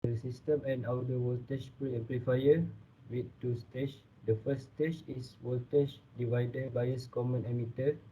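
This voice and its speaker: Tinny-sounding young Malaysian man presenting, flat delivery. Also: medium pitch, unhurried. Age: young adult. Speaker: student presenter. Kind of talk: scripted academic presentation. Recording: tinny phone-like audio, faint noise.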